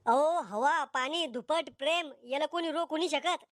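Man voicing a preachy, high-voiced cartoon youth. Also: normal speed, fairly bright tone, no room sound, studio quality. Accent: Vidarbha Marathi. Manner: preachy, mock-earnest. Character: cheeky, philosophizing young friend defending young love. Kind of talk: comic cartoon dialogue.

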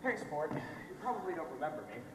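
Far off in an echoing theatre, a young man plays a brash reporter on stage. He swings his pitch widely and punches his words in slangy, theatrical bursts. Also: sing-song, brisk. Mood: accusing. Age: young adult. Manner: theatrical, slangy, emphatic delivery. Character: brash, fast-talking male reporter exposing a scandal. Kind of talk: amateur stage play acting. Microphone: distant microphone. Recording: echoey theatre hall from far off, noisy.

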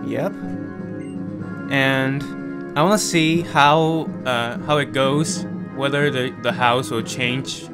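Man commentating a handheld game at home, slow and halting, mid-pitched, game music playing behind. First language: not Japanese. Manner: hesitant, many pauses and fillers. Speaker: gameplay commentator. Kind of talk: casual game playthrough commentary.

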